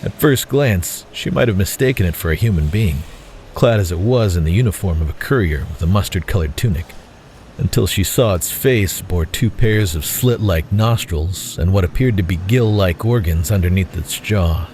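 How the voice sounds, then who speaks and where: A man's voice: low voice, animated, moderate pace, a little mellow, slight background noise. A man reading scripted dramatic narration as the narrator of an audio story.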